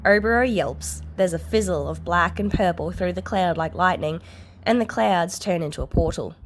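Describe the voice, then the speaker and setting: A woman's voice: lowish voice, moderate pace, a little hiss or hum. A woman reading scripted fiction aloud as a narrator. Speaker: podfic narrator.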